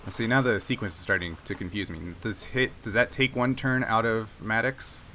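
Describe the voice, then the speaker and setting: A man's voice: fairly low pitch, moderate pace, sharp tone, slight background noise. A man acting as game master, casually narrating a tabletop role-playing game and explaining its rules.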